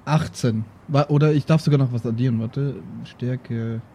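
A man's voice: mid-range voice, fairly even intonation, moderate pace, warm tone, slightly rough. A man playing a tabletop game, casually describing his moves and dice rolls. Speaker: tabletop player.

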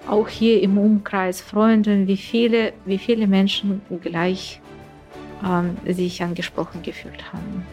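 A woman's voice: mid-range voice, a little mellow. A middle-aged woman from Ukraine, a native Russian speaker working as a teacher, speaking in an interview with many hesitations.

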